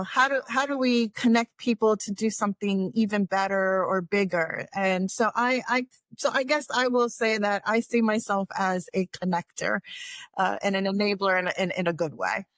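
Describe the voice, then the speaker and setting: A woman's voice: mid-range voice, fairly bright tone, no room sound. A middle-aged woman from New York, a guest in a casual interview, rambling with frequent restarts and laughs. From New York, United States.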